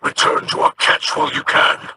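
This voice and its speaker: A man voicing a grave, weary alien pirate captain in a video game, with a gravelly, formal delivery. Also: moderate pace, lightly acted. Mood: solemn. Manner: solemn, formal. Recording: noisy game audio.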